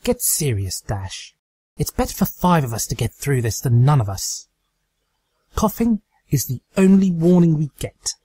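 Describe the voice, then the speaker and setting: Man doing a serious, raised-pitch unicorn mare. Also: very animated, dark tone, husky, full character voice. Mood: stern. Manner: earnest, measured. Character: serious, rational female unicorn pony, firm but sorrowful.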